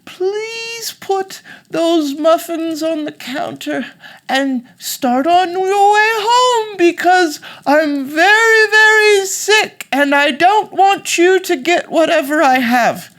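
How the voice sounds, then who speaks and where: A woman voicing a storybook wolf who pretends to be a sick old grandmother, with a British accent and a pleading, drawn-out wail. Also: very high-pitched, animated, fairly bright tone, voice pushed up, full character voice. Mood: feigned sickly pleading.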